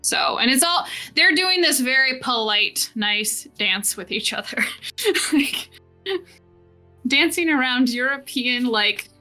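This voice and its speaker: A woman with a bright, fairly high voice runs a tabletop game. She narrates casually, swears freely and tosses in quick asides. Soft music plays behind her. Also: expressive. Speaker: game master.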